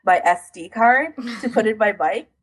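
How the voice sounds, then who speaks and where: A woman's voice: mid-range voice, lively intonation, normal speed, slightly rough. A woman co-hosting a podcast in casual chat, recounting things in detail with a self-deprecating streak. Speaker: podcast co-host.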